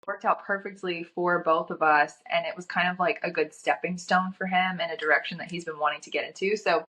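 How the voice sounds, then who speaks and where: Young woman, slightly raspy, lowish voice. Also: fairly even intonation, fairly quick, pushed and bright. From North Carolina, USA. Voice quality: slightly raspy, scratchy throat. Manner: chatty, frequent "like" filler. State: getting over a cold. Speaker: fashion model guest. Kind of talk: casual chat.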